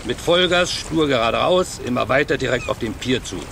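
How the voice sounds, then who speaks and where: An actor playing a gruff, excitable harbourmaster in a radio play, talking in blunt colloquial bursts. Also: male voice, medium pitch, moderate pace. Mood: agitated, blunt. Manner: blunt, colloquial, clipped phrases. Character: gruff, excitable male harbourmaster giving witness account. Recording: noisy waterside sound effects behind.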